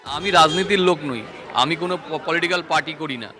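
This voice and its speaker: A man with a West Bengal accent making a halting, earnest spontaneous statement to the press. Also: on the high side, sharp tone, faint music behind. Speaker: complainant speaking to press. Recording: outdoors with faint crowd behind.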